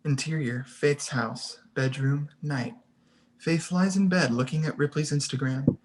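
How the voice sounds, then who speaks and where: A narrator with a medium-pitched voice reads a screenplay aloud. The delivery is scripted narration, setting out scene headings and action lines. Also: unhurried, background noise. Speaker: stage directions reader. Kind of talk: scripted narration at a table read.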